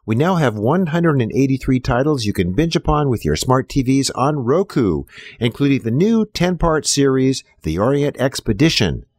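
A man's voice: medium pitch, very animated, moderate pace, a little mellow. A man delivering scripted announcements as the announcer of a show.

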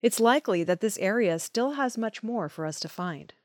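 A woman's voice: medium pitch, lively intonation, normal speed, over loud music. A woman reading scripted news stories as the presenter of an audio news program. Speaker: news reader.

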